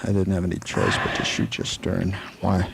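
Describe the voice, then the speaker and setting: A man playing a steady, weary frontier marshal in a western radio play, with a halting, folksy, deliberate delivery. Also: low-pitched, gravelly, noisy background, exaggerated voice. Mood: urgent.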